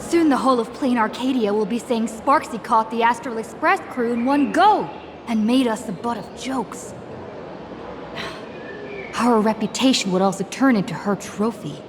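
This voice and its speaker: A woman's voice: on the high side, normal speed, noisy background, close to an everyday voice. A woman voicing a proud, frustrated young woman in a game, grumbling dramatically. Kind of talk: game voice acting. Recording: long reverb, music behind.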